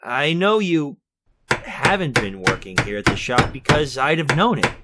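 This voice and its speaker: An actor playing a fussy, earnest workshop man with an old-fashioned, halting, exclaiming manner in a retro radio drama. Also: mid-range voice, very animated, very noisy. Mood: concerned, probing. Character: fussy, earnest workshop colleague named Ernest, recounting events.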